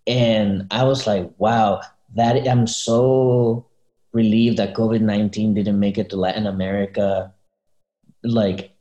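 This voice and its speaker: A man from Chile chatting informally as a guest, thinking aloud in a halting, slangy way. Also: lowish voice, steady tone, mellow, highs cut off. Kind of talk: casual chat. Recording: echoey room.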